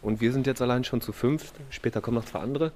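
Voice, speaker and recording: Chatty fast-talking man with a cold. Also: mid-range voice, darkish tone. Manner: chatty, upbeat, slangy. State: has a cold. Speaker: vlog host. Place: outdoors in a city. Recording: outdoor street noise behind.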